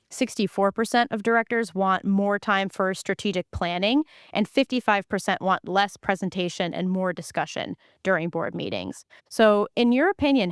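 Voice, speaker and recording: A woman's voice: mid-range voice, smooth, dry recording. An enthusiastic woman hosts a podcast interview and walks through research findings. Her voice is clean and resonant, recorded dry and close. She sounds upbeat and eager.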